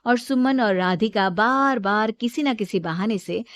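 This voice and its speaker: A woman's voice: on the high side, measured pace, no room sound. A woman narrator reading a scripted Hindi moral story.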